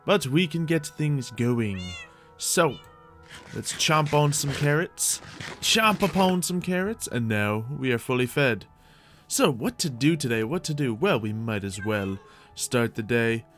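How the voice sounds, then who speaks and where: A man's voice: fairly high pitch, fairly bright tone. A man hosting a gaming video, giving playful, chatty roleplay commentary that rambles along and often addresses the game's creatures directly.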